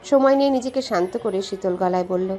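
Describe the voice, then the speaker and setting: A woman narrates a dramatic story from a script. Her delivery is quick and her pitch is medium. Soft music sits behind the reading.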